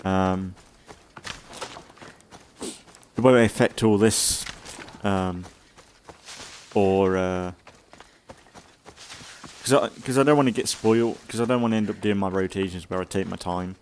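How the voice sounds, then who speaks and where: A man giving casual, rambling commentary as a let's play host, thinking aloud in a halting way and sounding slightly congested. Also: deep voice, a little hiss or hum. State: slightly congested from an oncoming cold.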